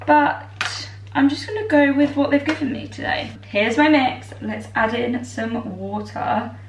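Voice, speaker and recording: Tired, croaky-voiced young woman. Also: high voice, expressive, measured pace. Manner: bubbly and chatty, talking while baking. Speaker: vlogger. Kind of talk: casual chat. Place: home kitchen, indoors. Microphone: far camera. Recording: echoey kitchen from far off.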